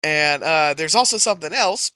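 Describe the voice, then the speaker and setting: A man's voice: a little high, animated, moderate pace, bright, forceful tone, dry recording. A man playing a tabletop game, talking casually in a rambling way with heavy swearing. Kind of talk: casual in-character play.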